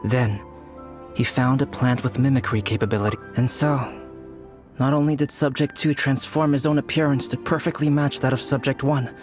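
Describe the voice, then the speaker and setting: A man voicing a composed young male alchemist in a video game, calm and measured with a dry, deadpan humor. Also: mid-range voice, natural voice. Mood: storytelling. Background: game music.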